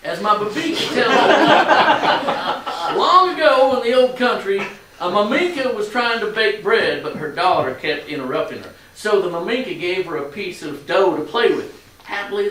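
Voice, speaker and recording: A man's voice: high voice, fairly bright tone. A man acting in a staged play reading as a genial, proud shopkeeper father with a friendly, folksy storytelling manner. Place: live staged reading in a room. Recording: very echoey, distant mic, faint noise.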